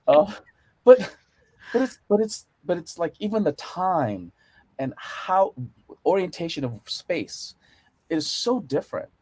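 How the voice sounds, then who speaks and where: A man's voice: sits high, big pitch swings, unhurried, long pauses, darkish tone, no echo. A middle-aged man with an American accent, speaking earnestly and emphatically in an unscripted panel discussion. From born in Korea, moved to America young. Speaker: panelist, TV series consulting producer.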